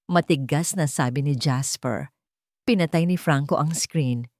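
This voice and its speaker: A woman with a fairly low voice narrates a scripted romantic drama. She reads as a storyteller in dramatic style, recorded dry with no room sound. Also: a little slow, darkish tone, dry recording.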